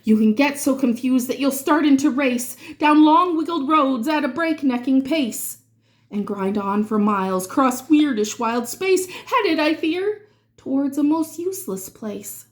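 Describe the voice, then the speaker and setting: A woman with a high-pitched voice reads a rhyming picture book aloud to children. Her delivery is expressive and dramatic, and she stretches out key words as she follows the verse. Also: a little slow. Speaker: children's read-aloud reader.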